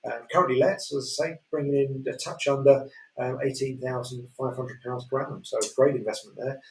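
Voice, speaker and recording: A man's voice: mid-range voice, a little fast, a little hiss or hum. Yorkshire man, echoey and distant. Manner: relaxed, many fillers, chuckles. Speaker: auctioneer presenter. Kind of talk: casual chat describing property lots. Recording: echoey room, distant mic.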